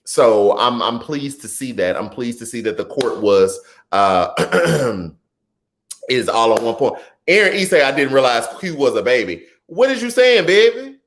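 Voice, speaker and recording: A man in his thirties hosts a live political show, talking casually with a lively, swooping pitch. He shifts easily between serious points and playful asides. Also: unhurried. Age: 30s. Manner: animated and conversational, drifts into chatty asides and laughs easily. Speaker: political talk show host. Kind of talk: live-streamed political commentary, reading viewer comments.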